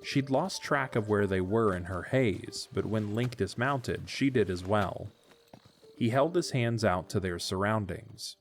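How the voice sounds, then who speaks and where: A man's voice: fairly low pitch, measured pace, soft background music. A man narrating a scripted story as the narrator of an audio drama.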